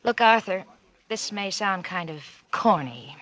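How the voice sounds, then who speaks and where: A woman's voice: mid-range voice, lively intonation, a little slow, fairly bright tone. A woman playing a smart, coolly guarded career woman in a 1940s radio drama, coy and poised. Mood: coy, firm.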